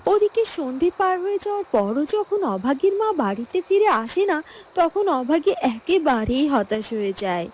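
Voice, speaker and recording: High, lively woman storyteller. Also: moderate pace, slight background noise. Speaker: story narrator. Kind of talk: scripted fairy-tale cartoon narration.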